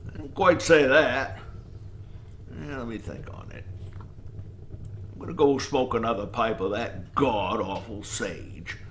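A man's voice: mid-range voice, unhurried, long pauses, a bit bright, slight background noise, lightly acted. A man playing a weary, wary old lighthouse keeper in an audio drama, with a folksy drawl. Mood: grudging. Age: older.

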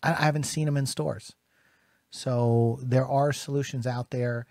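A man's voice: medium pitch, fairly even intonation, measured pace, a little mellow, no echo, studio quality. An American man in his forties talking casually and emphatically to camera as a vlog host, in an earnest, conversational way. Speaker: YouTube host. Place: small dim home studio room, indoors. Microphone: studio microphone on boom arm, close.

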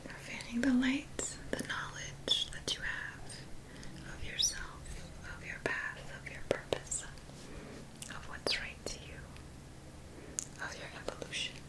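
A woman in her thirties whispers gentle, soothing affirmations as an ASMR energy healer, in a soft, breathy voice close to the mic. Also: sits high, steady tone, low-quality recording. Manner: gentle, slow, deliberate phrasing with pauses. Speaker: ASMR energy healing practitioner. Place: small dim indoor room. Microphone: close mic, seated near the camera.

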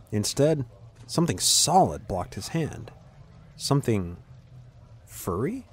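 A man's voice: on the low side, husky. A man narrating a scripted fantasy story.

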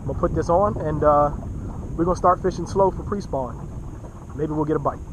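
A man's voice: a little high, brisk. An American man talking casually to a camera at arm's length on a boat. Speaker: vlogger introducing a fishing trip. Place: outdoors on a small boat on a lake. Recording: noisy windy outdoor boat audio.

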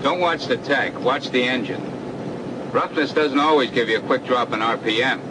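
An actor playing an experienced, practical flight instructor in a 1940s training film, giving brisk, commanding instructions. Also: male voice, medium pitch, background noise, lightly acted. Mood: instructive. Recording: old film soundtrack, loud cockpit engine drone.

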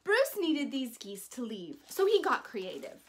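A woman in her thirties reading a children's picture book aloud in an animated, deliberate way with dramatic emphasis. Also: sits high. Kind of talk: expressive children's story reading.

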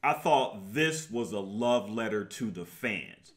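A man's voice: fairly low pitch, expressive, takes it slowly. A middle-aged man co-hosting a casual movie review, talking in a hesitant way that repeats phrases and circles back. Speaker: co-host of a movie review show. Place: indoor room, seated before a projection screen.

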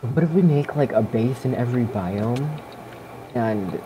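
A man's voice: medium pitch, animated, a little slow. A young man chatting casually with a friend during a game, teasing and rambling. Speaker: co-player in gaming video. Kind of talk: casual chat while gaming. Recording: noisy voice chat recording. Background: clear background noise.

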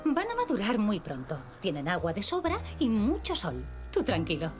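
A woman's voice: mid-range voice, huge pitch swings, normal speed. Casting: patient cartoon mother, Castilian Spanish, affectionate, hugely varied intonation, music behind. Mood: patient, reassuring. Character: patient, affectionate mother of two small children. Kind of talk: children's cartoon dub acting.

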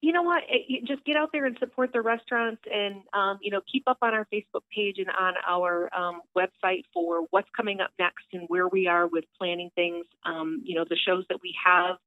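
A woman's voice: steady tone, brisk, few pauses, no echo. Chatty woman on a tinny phone line. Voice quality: low voice for a woman. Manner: chatty, many fillers, upbeat. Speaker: theater director calling in. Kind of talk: casual phone interview. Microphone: telephone.

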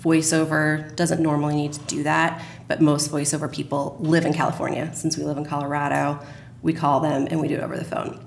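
A woman in her thirties talks as an interview guest, explaining things conversationally. Her voice is low with plenty of fry, and the room around her sounds echoey. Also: level tone, fairly quick. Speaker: radio drama producer, interview guest. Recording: roomy, echoey, faint background noise.

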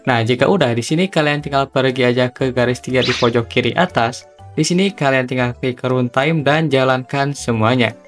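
Casual young man, medium pitch. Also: moderate pace. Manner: chatty, slangy, step-by-step instructing. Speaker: tutorial host. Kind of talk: casual tutorial voice-over. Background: background music.

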